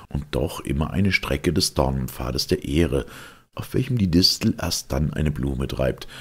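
A man reading a classic literary tale as an audiobook narrator, in a solemn, measured storytelling manner. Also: extremely low pitch, vocal fry, husky.

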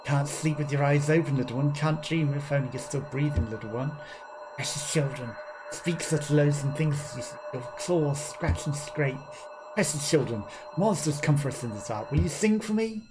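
A man voicing an eerie, sorrowful ghost that croons gently to children in a slow, hushed lament, as video game lore. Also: on the high side, close to an everyday voice, poor audio. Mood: eerie, pleading. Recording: game music behind.

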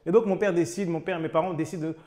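A man with a fairly high voice talks straight to the camera about making money, in the manner of an online coach. He addresses the listener directly and often stops to ask them questions. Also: fairly even intonation, rapid-fire, a little mellow. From France, raised in Côte d'Ivoire. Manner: addresses viewer informally, with repeated questions and restarts. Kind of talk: direct-to-camera motivational talk.

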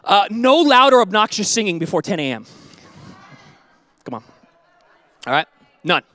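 A man in his thirties preaching a sermon on a church stage into a handheld mic, animated and joking, then dropping to soft earnest tones. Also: high voice, normal speed, no room sound, studio quality. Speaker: pastor.

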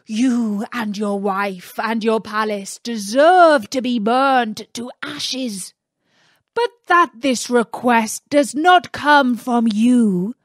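Woman doing a furious booming male genie. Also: fairly bright tone, dry recording, clean studio sound, heavily acted. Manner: booming, indignant outbursts.

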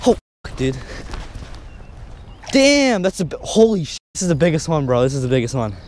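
A young man filming himself fishing on a riverbank, chatting excitedly with friends and swearing as fish are landed. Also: on the high side, normal speed, slight background noise. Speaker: angler filming his fishing trip. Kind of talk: casual outdoor banter. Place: riverbank outdoors. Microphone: body-worn action camera.

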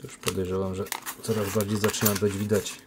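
A man unboxing silver coins, talking casually on a phone recording with a relaxed, chatty manner. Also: lowish voice, brisk, noisy background. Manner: relaxed, chatty, with many diminutives and fillers. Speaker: coin collector unboxing silver. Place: indoor room by a window. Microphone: phone camera.

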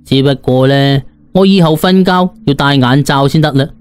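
A man's voice: mid-range voice, normal speed, clean tone. A man with a Cantonese accent narrating an audiobook in a colloquial, dramatic first-person storytelling style.

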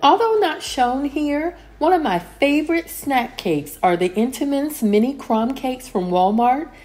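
Woman with a lively voice-over over soft music. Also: sits high, unhurried. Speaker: cleaning vlogger. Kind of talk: calm scripted voice-over narration.

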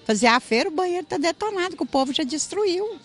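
A woman's voice: extremely high pitch, lively intonation, fast talker. A woman, a market vendor talking frankly at her stall at night into a handheld mic. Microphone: handheld mic held by interviewer.

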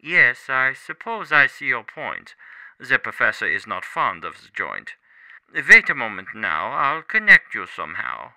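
A man's voice: mid-range voice, big pitch swings, measured pace, gravelly, bright, forceful tone, clean tone, no room sound, full character voice, rough recording. A man playing a suspicious henchman who talks in singsong rhymes, in a radio drama. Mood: wary. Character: suspicious rhyming male henchman on the phone.

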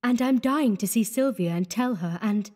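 A man's voice: high-pitched, normal speed, darkish tone, long reverb tail, pitched above the performer's own voice, close to an everyday voice. A man voicing a shy young woman, breathless and sighing. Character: shy young French woman, newly engaged.

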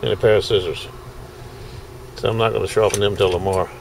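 A man's voice: on the low side, steady tone, quick pace, vocal fry, slight background noise, rough recording. A middle-aged man, chatting folksily in a casual video diary from his car and home, recorded on a handheld camera. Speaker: knife sharpener vlogger.

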